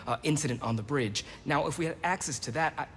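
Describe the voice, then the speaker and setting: A man's voice: quick pace, a bit bright, close to an everyday voice. An actor playing a nervous male lab technician in a video game, hesitant and stammering. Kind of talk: video game cutscene acting. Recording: game audio with long reverb and faint rumble.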